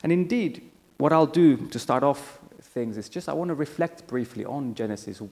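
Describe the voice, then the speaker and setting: A man's voice: on the high side, animated, moderate pace, darkish tone. A man with a Finnish accent preaching a sermon to a church congregation. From Finland. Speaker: church member preaching, theological college staff. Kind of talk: sermon with scripture reading and prayer. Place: church hall, speaking to a congregation. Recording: echoey hall with long reverb.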